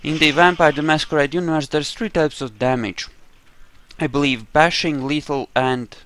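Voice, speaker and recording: A man's voice: a little high, fairly even intonation, normal speed, fairly bright tone. A man giving casual, conversational commentary as he plays a video game, with a sweary streak. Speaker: gamer, playthrough host.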